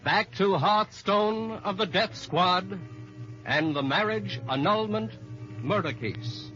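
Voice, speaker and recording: A man's voice: sits high, takes it slowly, pushed and bright, soft background music. A man announcing and narrating an old-time radio drama with dramatic, emphatic scripted delivery. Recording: dull, muffled old radio recording.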